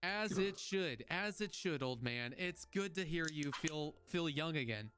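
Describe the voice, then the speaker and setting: A man's voice: fairly high pitch, lively intonation, a little slow. A man in his twenties hosting a podcast, chatting casually and jokingly, rambling with frequent restarts. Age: 20s. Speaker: podcast host. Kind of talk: casual chat.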